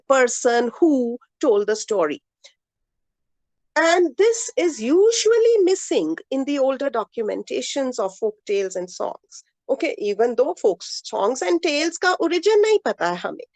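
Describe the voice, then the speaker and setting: A middle-aged woman with an Indian English accent, a professor giving a reflective, measured talk with pauses and fillers, sometimes slipping into Hindi. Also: sits high, no room sound. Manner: switches into Hindi mid-sentence. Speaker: professor giving a guest lecture. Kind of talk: academic talk on storytelling.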